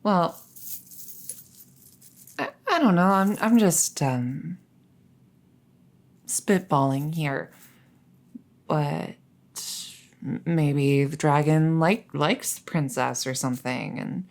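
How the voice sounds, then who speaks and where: Woman doing a captive princess for audio roleplay, low voice, takes her time, proud but coy. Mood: shy, bashful. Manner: stammering, hesitant, halting. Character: captured crown princess, haughty then flustered and coy. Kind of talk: roleplay audio drama acting.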